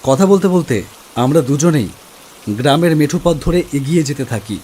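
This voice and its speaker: High-pitched male horror storyteller. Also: animated, normal speed, mellow, slight background noise. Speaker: first-person storyteller. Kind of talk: scripted dramatic horror-story narration for cartoon. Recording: faint crickets and rain ambience.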